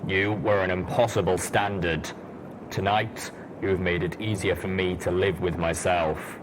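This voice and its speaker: A man acts out a grave male ghoul pony soldier from a storybook. The voice is very low, with a slow, solemn and blunt delivery. There is clear noise in the recording. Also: steady tone.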